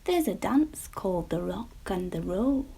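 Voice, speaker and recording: A woman voicing a kindly male earthworm, slow, folksy and gently reassuring. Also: mid-range voice, lively intonation, gravelly, slightly rough, exaggerated voice.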